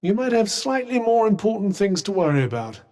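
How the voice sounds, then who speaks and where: A man's voice: high voice, big pitch swings, fairly quick, a little mellow, big-room sound. An actor voicing a witty, sarcastic male talking magic cuff in a video game, teasing in a dry tone.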